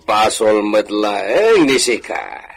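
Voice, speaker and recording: A man's voice: on the low side, big pitch swings, drawn-out words, creaky, slightly rough, dry recording, heavily acted. A man playing a proud, lordly master of a villa in an audio drama, formal and stately in manner. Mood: mocking.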